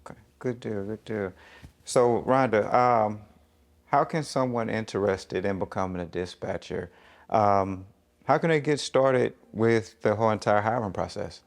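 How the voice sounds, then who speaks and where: A man with a fairly low voice hosts a local television interview. He speaks informally, moving between questions and short affirmations. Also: unhurried, gravelly. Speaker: show host interviewer. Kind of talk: interview hosting on a local TV show.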